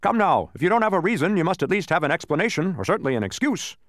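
A man voicing a pompous, impatient storybook gateman with a booming, officious, proclaiming manner. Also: big pitch swings, fairly quick, creaky, no echo, clean studio sound, exaggerated voice. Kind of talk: children's storybook character voice.

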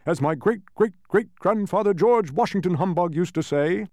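Booming, high-pitched pompous old beetle. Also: male voice, moderate pace, dark tone, no echo, studio quality. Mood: scornful. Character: blustering, pompous old humbug beetle, boastful male fraud. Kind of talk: animated storybook character reading.

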